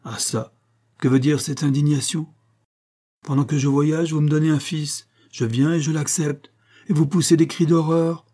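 Male reader as cruel estranged husband, mocking, sneering, audiobook drama, mellow, slightly husky. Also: mid-range voice, moderate pace, natural voice. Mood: scornful indignation. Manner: sneering, sarcastic, coldly domineering.

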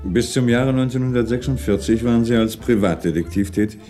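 An actor playing a shrewd French police commissioner in a 1960s German radio crime drama, questioning in a measured way with pointed pauses. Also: male voice, medium pitch, dark tone, faint music behind, lightly acted. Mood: businesslike.

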